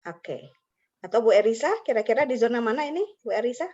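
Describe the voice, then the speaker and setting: A woman's voice: on the high side, animated, fast, mellow. A woman hosting an online teacher webinar, chatty and friendly, calling on participants by name. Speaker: webinar host and teacher trainer. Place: video call. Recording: dull lo-fi video call audio.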